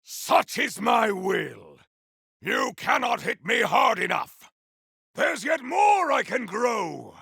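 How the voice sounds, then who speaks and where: A man playing a zealous, boastful, battle-hungry warrior champion in a video game, with a raspy voice and bombastic, archaic delivery. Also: extremely high pitch, very animated, slow, pushed and bright, dry recording, shouting, clean studio sound, heavily acted. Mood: battle fury. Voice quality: gravelly, raspy. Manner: bombastic, archaic, theatrical declamation. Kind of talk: video game combat barks.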